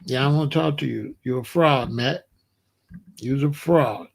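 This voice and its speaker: A man's voice: mid-range voice, a little slow, creaky. Blunt confrontational man ranting to his chat. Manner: blunt, repetitive, confrontational, calls out a chatter. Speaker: host of a live commentary stream. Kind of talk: unscripted live-stream commentary, talking to chat.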